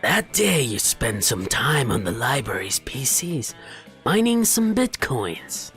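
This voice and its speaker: Animated man narrating a game playthrough. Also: medium pitch, takes it slowly, faint music behind. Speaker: gaming video host. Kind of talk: game playthrough narration, reading aloud with casual commentary.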